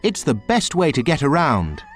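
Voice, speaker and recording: A man voicing a cheery lively grandma. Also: fairly high pitch, normal speed, loud music behind the voice, voice pushed up.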